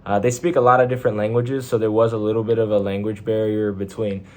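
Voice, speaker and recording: A man's voice: lowish voice, little pitch movement, quick pace, darkish tone. A young man with a Texan accent, chatting casually to his camera from a hotel room, laid-back and rambling with slang and fillers. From Texas, USA. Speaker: vlogger, Navy sailor. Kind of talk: casual vlog monologue.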